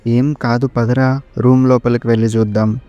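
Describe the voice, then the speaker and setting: A man's voice: medium pitch, level tone, moderate pace, warm tone, lo-fi sound, lightly acted. A man playing a young guy on a haunted-house dare in a horror cartoon, colloquial and urgent. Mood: eager. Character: two young male friends on a haunted-house dare, jittery. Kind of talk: horror cartoon story dialogue. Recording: music behind the voice.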